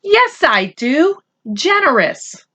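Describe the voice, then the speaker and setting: A woman's voice: high-pitched, sing-song, slow pace, bright, forceful tone, lo-fi sound, pitched above the performer's own voice, exaggerated voice. A woman voicing a proud young schoolboy in a storybook reading, indignant and insistent as he defends himself. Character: defensive, proud schoolboy writer standing up to his brother.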